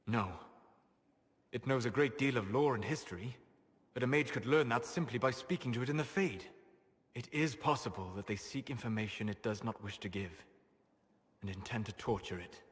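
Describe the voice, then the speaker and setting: An actor playing a grave, scholarly elven male mage with measured, formal phrasing in a video game drama. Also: mid-range voice, in a large hall, close to an everyday voice.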